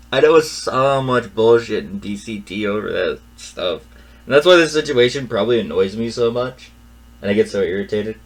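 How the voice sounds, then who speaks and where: A young man telling a personal story in a casual rant, exasperated and repetitive, dropping to a mutter and then rising loud. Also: fairly low pitch, poor audio.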